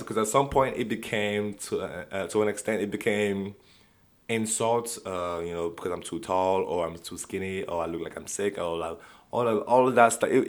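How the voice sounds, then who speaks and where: A young man telling personal stories in a rambling way, with many restarts and frequent laughter. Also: lowish voice. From Nigeria. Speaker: vlogger. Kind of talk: casual storytelling.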